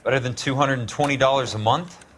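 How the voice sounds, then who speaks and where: An actor in a noir video game playing a tough, sardonic, principled ex-military investigator with wry, clipped delivery. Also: male voice, mid-range voice, fairly even intonation, quick pace, a bit bright, slight background noise, close to an everyday voice. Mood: wry, defiant. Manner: wry, hard-boiled, clipped.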